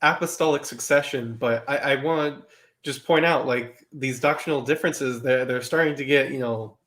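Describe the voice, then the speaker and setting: A man's voice: medium pitch, normal speed, a bit bright. A man in a casual discussion who presses others with pointed questions. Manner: challenging, probing questions. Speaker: podcast guest.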